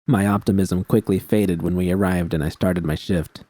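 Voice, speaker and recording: A man's voice: sits low, steady tone, normal speed, warm tone, no room sound. A man reading scripted first-person fiction as an audiobook narrator.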